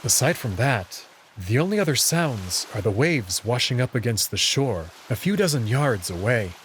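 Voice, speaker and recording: A man's voice: mid-range voice, very animated, normal speed, a bit bright, a little hiss or hum. A man giving a dramatic first-person narration of a visual novel story. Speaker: narrator and reader.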